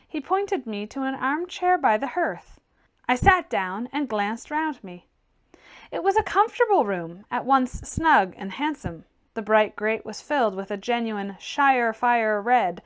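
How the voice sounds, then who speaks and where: A woman narrates a classic novel as an audiobook. Her voice sits fairly high, and she follows the written prose as a storyteller. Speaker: audiobook reader. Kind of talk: scripted literary narration.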